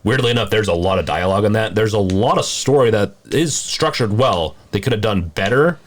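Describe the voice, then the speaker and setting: A man's voice: fairly low pitch, expressive, fairly quick. A man co-hosting a podcast, offering his opinions in casual conversation. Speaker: podcast co-host.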